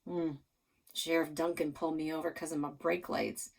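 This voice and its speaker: Storybook side role: creepy old handyman woman performing, folksy grumbler, creaky and husky. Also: on the high side, flat delivery, normal speed. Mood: grumbling. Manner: folksy, complaining.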